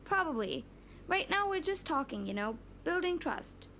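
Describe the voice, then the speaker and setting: A woman's voice: extremely high pitch, sing-song, normal speed, pushed and bright, slight background noise, full character voice. A woman playing a tentative young superhero team member in naturalistic audio drama dialogue.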